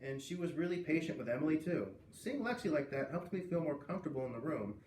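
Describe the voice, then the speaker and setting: A man reading a children's book aloud as an expressive storyteller. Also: fairly high pitch, fast talker. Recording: roomy, distant mic with faint noise.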